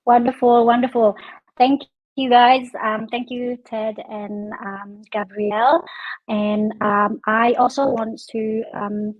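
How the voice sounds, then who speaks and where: A woman's voice: on the high side, fairly even intonation, slow, no echo, muffled recording. A woman hosting a casual online interview, hesitant and full of "um" fillers. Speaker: interview host.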